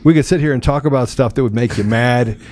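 A man's voice: mid-range voice, quick pace, darkish tone. A man hosting a casual live talk show, joking and teasing, often repeating himself as he banters.

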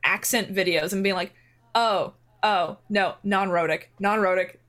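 A chatty woman in a relaxed group conversation, talking in a loose, rambling way. She strings her thoughts together with lots of fillers and acts out little quotes of what she was thinking. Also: medium pitch, a little slow. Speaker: player on a tabletop game show. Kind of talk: casual chat.

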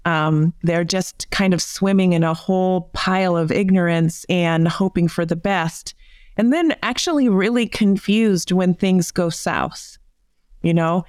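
Smooth-voiced woman explaining. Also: fairly low pitch, a little slow, no echo, studio quality. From United States. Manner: animated explanation with many fillers. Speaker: psychotherapist guest. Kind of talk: conversational interview.

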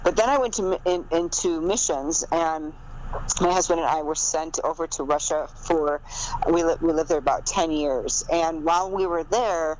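A woman's voice: deep voice, moderate pace, a little mellow, low-quality recording. A middle-aged American woman from the Midwest, an author being interviewed, telling stories casually and chattily, often interrupting herself. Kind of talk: casual conversational storytelling. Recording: noticeable background noise.

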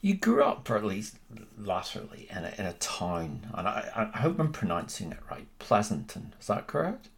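A man's voice: on the low side, huge pitch swings, moderate pace, gravelly. A man hosting a podcast interview, asking long rambling questions with many restarts. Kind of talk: conversational interview.

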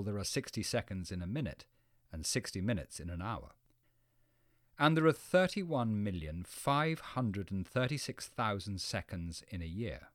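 A man with a fairly low voice narrates a scripted educational programme. His delivery is explanatory and clearly phrased, with very lively intonation that rises and falls often. The sound is dry and close, free of room echo.

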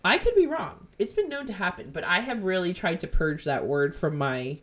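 A woman with a New Jersey accent, hosting a podcast and retelling a ghost-hunting episode in animated, profane casual chat. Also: fairly low pitch, moderate pace. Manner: animated, profane, jumps into quoted voices. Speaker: podcast host.

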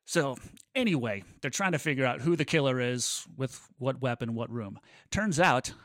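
A man co-hosting a casual podcast, speaking at an average pitch. He rambles enthusiastically and jokes around like a musician among friends. The sound is dry and free of room echo. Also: expressive, moderate pace, a bit bright.

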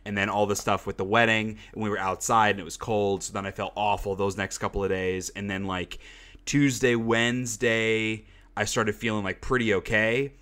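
A man's voice: on the low side, steady tone, moderate pace, a bit bright. A young man hosting a casual podcast, congested from a cold and rambling with lots of fillers. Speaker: podcast host. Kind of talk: casual chat.

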